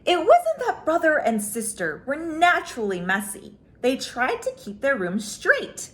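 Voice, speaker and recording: A woman's voice: high voice, huge pitch swings, a little slow, a bit bright. A woman reading a children's picture book aloud as a storyteller, expressively, drawing out key words for emphasis.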